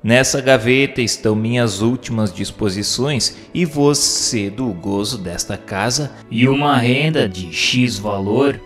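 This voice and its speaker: A man's voice: mid-range voice, a little slow, hardly any pauses. Man narrating formally in a long hall reverb. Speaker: narrator reading questions and commentary. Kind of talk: scripted audiobook narration of a spiritist text. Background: music.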